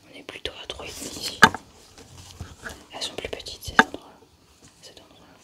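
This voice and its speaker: Young woman whispering asmr, playing a scientist, slow careful step by step, close to the mic. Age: young adult. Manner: hushed, unhurried, methodical narration of each step. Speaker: ASMR creator playing an alien autopsy scientist.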